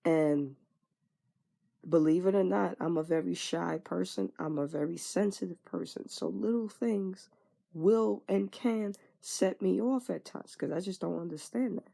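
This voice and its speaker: A woman's voice: fairly low pitch, a little slow, gravelly, warm tone. A woman in her twenties frankly venting in a candid personal monologue, swearing casually and trailing off with fillers. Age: 20s. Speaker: vlogger.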